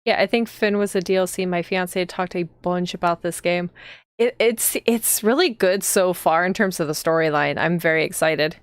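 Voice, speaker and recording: A woman hosts a casual live stream in a fairly low, very clear voice. The sound is dry, with almost no room to it. She talks informally, straight to her viewers. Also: normal speed, no echo. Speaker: streamer and host.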